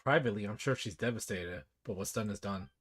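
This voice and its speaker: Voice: low voice, fast, fairly bright tone, husky. A streamer giving casual game commentary, musing and asking questions aloud.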